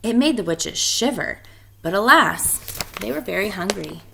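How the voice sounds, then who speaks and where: A woman reading a storybook aloud in an expressive narrating style. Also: medium pitch, moderate pace, creaky, noisy background. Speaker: storybook reader.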